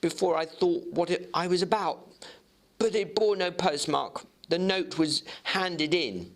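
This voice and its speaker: A man voicing an agitated, feverish gentleman doctor, fervent and faltering, in a dramatic book reading. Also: high voice, measured pace, voice pushed up. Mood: anxious, defeated. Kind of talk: dramatic audiobook character reading.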